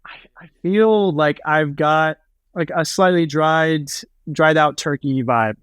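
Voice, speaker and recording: A man's voice: fairly high pitch, slow pace, smooth, no echo. A man from the Pacific Northwest, a podcast guest joking in casual chat. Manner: joking, laid-back banter.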